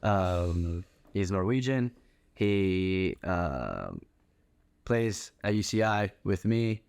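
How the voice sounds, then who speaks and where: A young man with a low voice hosts a casual podcast. He talks informally and haltingly, and the sound is dry and close with no room echo. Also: very animated, creaky, a little mellow, smooth. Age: young adult. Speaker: podcast host, college soccer player. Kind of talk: casual chat.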